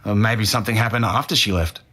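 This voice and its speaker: A man's voice: on the low side, quick pace, pushed and bright, breathy edge. A man acting as a defensive Australian murder suspect in his twenties, speaking earnestly in blunt slang in an interview-style audio drama. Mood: casual, reminiscing. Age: 20s.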